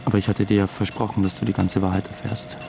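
An actor playing an uneasy, obsessive theatre dramaturge, confessing hesitantly in a radio drama. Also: male voice, on the low side, flat delivery, quick pace, vocal fry. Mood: confessional, ashamed. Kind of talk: first-person radio drama narration. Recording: music under the voice. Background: music.

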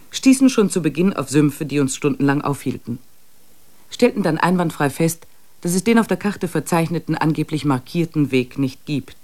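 A male actor as a reflective diarist on a mountain expedition in a literary radio play. His voice is somewhat high for a man, and he narrates the journal in measured, slightly uneasy tones. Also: a little mellow, natural voice.

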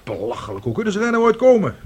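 A man's voice: high-pitched, sing-song, racing, darkish tone, husky, exaggerated voice. An actor playing a middle-aged, proud and self-pitying father in a radio drama, speaking theatrically. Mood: baffled, scornful. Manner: theatrical, indignant, emphatic.

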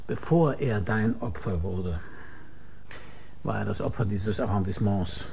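Dry, sardonic private detective. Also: male voice, lowish voice, gravelly, a little mellow, slight background noise. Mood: smug explaining. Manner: dry, mocking, deliberate storytelling. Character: sardonic, shrewd private detective who tells the story himself. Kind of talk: German radio crime drama acting with first-person narration.

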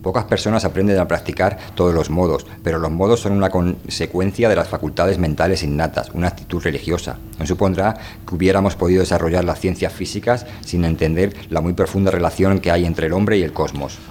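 Radio drama role: calm, inquisitive male scientist from an anarchist society played by a man, deep voice, measured delivery, slight background noise. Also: lightly acted. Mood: thoughtful, questioning.

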